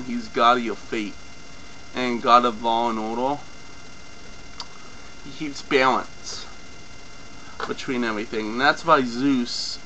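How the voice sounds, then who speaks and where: Young man, slightly hoarse, unscripted with many ums, faint mains hum behind. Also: mid-range voice, bright, forceful tone, rough recording. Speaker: mythology video host. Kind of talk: casual informal explainer.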